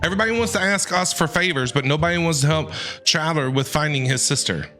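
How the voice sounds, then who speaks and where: A man reacting live to a game as a streamer, casual, expressive and exclamatory. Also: a little high, fast talker, soft background music.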